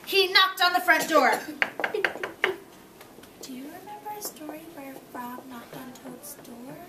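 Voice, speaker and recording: High-voiced woman teacher, slow and prompting. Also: expressive. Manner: repeats children's answers, prompting with questions. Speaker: elementary school teacher. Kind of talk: interactive read-aloud lesson for children. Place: classroom. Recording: echoey noisy classroom, far from mic.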